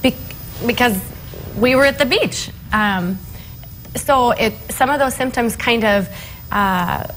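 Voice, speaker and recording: A woman's voice: fairly high pitch, takes it slowly, long pauses, a bit bright, poor audio. Matter-of-fact woman, noticeable room noise. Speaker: interview guest, parent. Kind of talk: conversational television interview.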